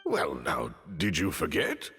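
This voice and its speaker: A gravelly, courtly old-world butler. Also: male voice, rumbling low, huge pitch swings, slow pace, a bit bright, long reverb tail, full character voice. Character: gravelly, genteel male butler, dignified and attentive. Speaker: butler attendant.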